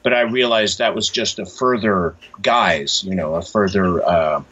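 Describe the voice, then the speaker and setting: A man with a fairly low, husky voice gives reflective interview answers. He is halting and often restarts his phrases. The sound is somewhat dull and lo-fi. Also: fairly bright tone. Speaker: interview guest, author.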